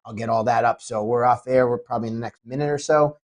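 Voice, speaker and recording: Sniffly, excitable man on a podcast. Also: mid-range voice, fast talker. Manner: excitable, rambling, frequent restarts. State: sniffly, getting over a cold. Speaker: MMA promoter. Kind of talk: casual podcast chat.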